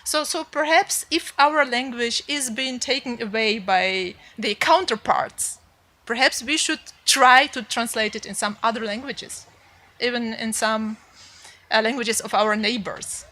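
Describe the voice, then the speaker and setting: Bright-voiced woman, halting panelist. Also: a little high. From Ukraine. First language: Ukrainian. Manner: thoughtful, halting, many fillers. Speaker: literary translator, panelist. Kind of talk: panel discussion.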